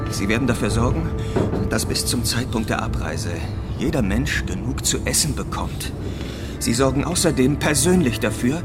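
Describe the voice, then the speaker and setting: Man acting commanding, resolute official, medium pitch. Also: natural voice. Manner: commanding, insistent. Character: stern, resolute male official taking command of a station. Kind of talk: German radio drama acting. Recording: music playing behind the voice.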